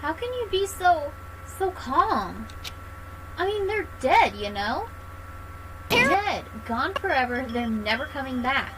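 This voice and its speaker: A woman's voice: high-pitched, big pitch swings, slow pace, background noise. A woman streaming a game, reacting expressively with exasperation and reading dialogue aloud, with music behind.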